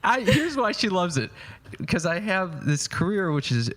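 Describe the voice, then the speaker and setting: A man's voice: high voice, very animated, moderate pace, a bit bright. A young man chatting casually as a podcast host. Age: young adult. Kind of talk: casual chat.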